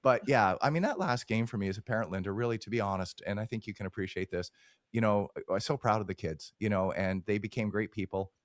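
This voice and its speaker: A man's voice: lowish voice, fast talker, no echo, studio quality. A man hosting a podcast, chatting in a candid, self-deprecating way with his guests. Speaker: podcast host. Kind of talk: casual interview chat.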